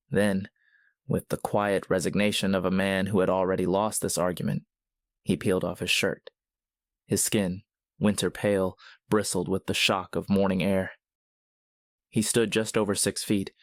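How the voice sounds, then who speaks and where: A man narrating a scripted story in a measured, literary manner. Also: deep voice, no echo, studio quality.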